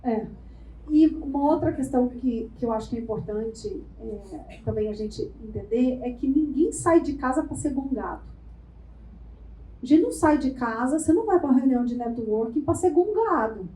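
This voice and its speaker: A Brazilian woman giving a lively networking workshop, talking playfully and firing quick questions at the room. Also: high-pitched, slight background noise. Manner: animated, playful, asks the room rapid questions. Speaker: workshop speaker. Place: event room before a live audience. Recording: echoey room.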